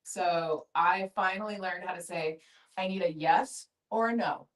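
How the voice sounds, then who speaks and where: A woman's voice: fairly low pitch, unhurried. A middle-aged woman chatting casually and candidly in a group discussion, in an effusive, informal way. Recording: distant room microphone.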